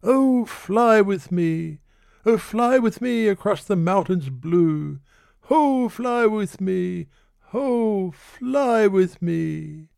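A man's voice: sits high, lively intonation, drawn-out words, creaky, darkish tone, clean tone, no echo, studio quality, voice pushed up, exaggerated voice. A man voicing an ardent young shearer posing as a lord, wooing in a declamatory manner in a recited ballad.

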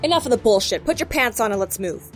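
A tough, no-nonsense federal agent. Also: female voice, a little high, sing-song, fast, soft background music. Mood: impatient, forceful. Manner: curt, commanding, foul-mouthed. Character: tough, no-nonsense rogue female FBI agent. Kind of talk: audio drama acting.

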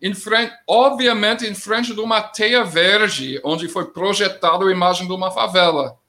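A middle-aged man speaking Portuguese with a non-native accent, commenting as a guest in a political discussion, plain-spoken with halting grammar. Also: high-pitched, bright, forceful tone. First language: not Portuguese.

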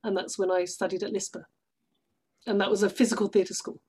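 A woman's voice: medium pitch, flat delivery, brisk, a little mellow, husky. A woman from London, speaking as an interview guest and explaining things conversationally. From London, England. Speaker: interview guest, stage actress. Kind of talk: casual explanatory interview talk.

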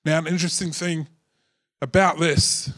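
A man, a pastor giving a casual, joking Sunday sermon in church, his voice a little husky. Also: fairly high pitch, fairly even intonation, normal speed, a bit bright, dry recording, clean studio sound. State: slightly husky voice.

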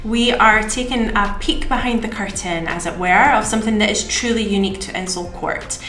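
A woman's voice: medium pitch, brisk, fairly bright tone. A woman hosting a podcast, giving a partly scripted introduction in a conversational way. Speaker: podcast host and marketing coordinator. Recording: roomy echo, faint music.

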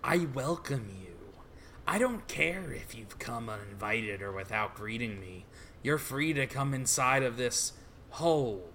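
A man voicing a menacing, imperious villain of a dark hole in a quiet, measured way. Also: mid-range voice, expressive, a little hiss or hum, pitched below the performer's own voice. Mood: menacing, calm.

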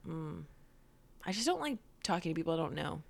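A woman's voice: deep voice, very animated, a little fast, gravelly. A young woman co-hosting a podcast, chatting casually about a sitcom episode, swearing and saying "like" a lot. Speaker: podcast co-host.

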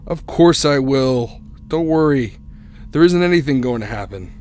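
A man's voice: medium pitch, animated, measured pace, darkish tone, lightly acted. An actor playing a haunted young motorist who tells his eerie story in a radio drama, grave and suspenseful. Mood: breezy, reassuring. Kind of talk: radio drama narration and acting.

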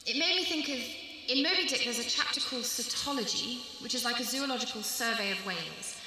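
Very bright, high-voiced woman in echoey hall. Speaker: translator. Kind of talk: thoughtful literary conversation.

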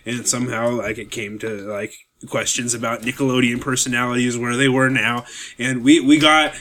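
A man's voice: mid-range voice, fairly even intonation, moderate pace, fairly bright tone. A man in his early thirties, co-hosting a casual chat, rambling with fillers and restarts. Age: 30s. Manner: rambling, many fillers and restarts, laughs often. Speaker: podcast co-host.

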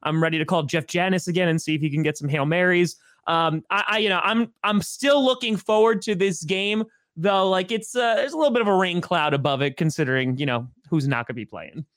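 A young man with a high voice hosts a sports podcast, chatting loosely and excitedly with plenty of "like" and "uh." He sounds casual and amped. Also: fairly quick, few pauses. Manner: excited, loose, lots of fillers. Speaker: podcast host.

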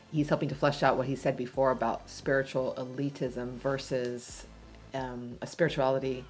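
A woman's voice: very deep voice, a little mellow. A woman co-hosting a casual faith discussion, eagerly jumping in to build on the ideas being talked through. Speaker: podcast co-host.

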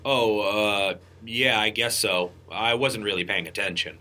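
A man's voice: on the low side, a little slow, sharp tone. A man playing a skeptical but easily distracted rabbit detective in a comic radio play, dry and musing. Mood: offhand. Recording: clear background noise.

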